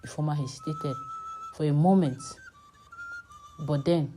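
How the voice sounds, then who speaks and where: A woman's voice: low voice, measured pace, over music. A woman storyteller reading a scripted folktale in a dramatic narrating style.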